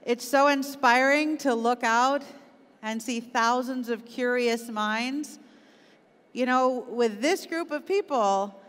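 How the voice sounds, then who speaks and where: High-voiced Canadian woman in a big hall. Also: a bit bright. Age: middle-aged. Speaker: conference keynote speaker, business executive. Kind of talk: motivational keynote talk. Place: on stage in a large auditorium. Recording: echoey large hall, long reverb.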